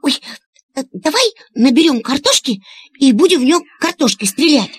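Woman playing a nervous small boy for a storybook drama: very squeaky, stammering, animated. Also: normal speed, dry recording, clean studio sound. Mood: wary excitement. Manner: hesitant, stammering, breaking up phrases. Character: timid, squeaky little boy, nervous but inquisitive. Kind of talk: children's audio story acting.

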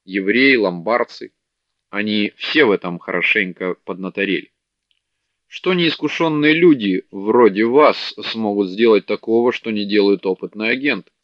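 A man's voice: on the low side, moderate pace, no echo, lightly acted. A man voicing a sleepy, greedy, fat male merchant who talks down to people, on a dull, dry audiobook recording. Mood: calculating. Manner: haughty, contemptuous.

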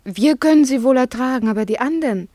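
An actress playing a thoughtful, gently ironic village doctor's wife in a German radio drama, earnest and searching. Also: female voice, high-pitched, fast, no room sound, clean studio sound. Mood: earnest, reproachful.

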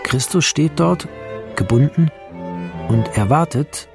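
A man narrating a screenplay in a radio drama, in measured, terse phrases. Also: fairly high pitch, animated, gravelly, over music.

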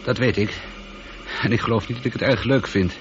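A man's voice: on the low side, steady tone, fairly quick, close to an everyday voice. A man playing a wry space pilot in a science fiction radio drama, talking in a dry, informal, conversational way. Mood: irritated, apologetic. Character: male space pilot, wry, teasing, easily irritated but apologetic. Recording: dull, lightly noisy old radio recording.

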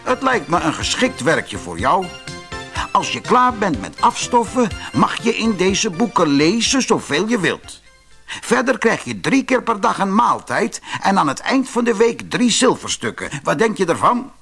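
A man voicing a wise, odd bookbinder in a fairy tale, deliberate and evasive as he instructs. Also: high voice, sing-song, gravelly, a bit bright, faint music behind, pitched above the performer's own voice, heavily acted. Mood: businesslike, instructive. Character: wise, odd red-bearded bookbinder, cagey and deliberate employer. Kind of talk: fairy-tale audiobook character voice.

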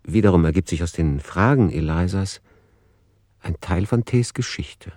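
Deep-voiced male narrator, very dry sound. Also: moderate pace, a little mellow, no echo. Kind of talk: scripted literary radio play narration.

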